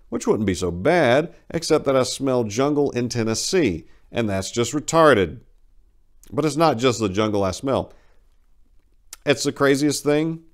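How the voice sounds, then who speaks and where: A very low, gravel-edged voice from a male narrator acting a big middle-aged Vietnam veteran. He confides in a plain-spoken, sardonic way, haunted underneath. The telling is a first-person dramatic short story.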